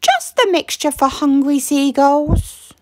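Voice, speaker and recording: A woman's voice: sits high, lively intonation, takes it slowly, darkish tone, no room sound, voice pushed up. A woman voicing a fussy, kindly storybook lighthouse keeper's wife in a proper, sing-song manner. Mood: sly.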